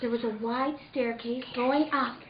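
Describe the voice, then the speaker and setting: A woman's voice: fairly high pitch, steady tone, moderate pace, reverberant, highs cut off, slight background noise, pitched below the performer's own voice, close to an everyday voice. A woman playing a frightened, wistful woman recounting a recurring dream in a hesitant, reflective radio drama monologue. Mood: frightened, hushed.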